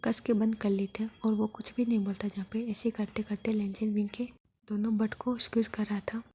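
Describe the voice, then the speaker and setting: A woman narrating a story in a soft, steady whisper. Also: mid-range voice, fairly quick, a little hiss or hum.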